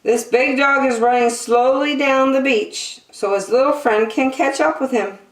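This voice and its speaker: A woman's voice: high-pitched, measured pace, reverberant, poor audio. A woman hosting story time, reading a picture book aloud to young children expressively, with chatty asides and questions.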